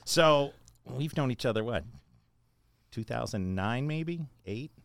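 A man hosting a podcast, reading out a guest's introduction in a measured, list-like way, then chatting. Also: medium pitch, lively intonation, creaky, dry recording. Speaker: podcast host.

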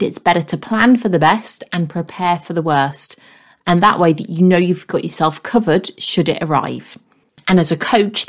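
A woman hosts a motivational podcast in a fairly low voice. A faint croak runs through her speech. She talks to her listeners in an earnest, encouraging tone. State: slightly croaky voice. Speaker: podcast host and coach.

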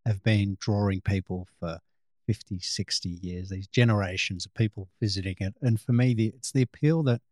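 A man's voice: fairly low pitch, no echo. A man from Australia, a photographer, chatting casually as an interview guest, rambling and restarting his sentences. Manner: relaxed, rambling with frequent restarts.

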